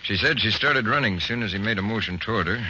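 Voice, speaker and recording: A gruff American police chief speaks curtly in a low, very forceful voice. It is vintage radio detective acting on a dull recording with faint noise. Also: male voice. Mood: brisk, urgent. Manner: curt, brisk. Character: gruff, brisk male police chief giving orders. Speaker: radio drama actor.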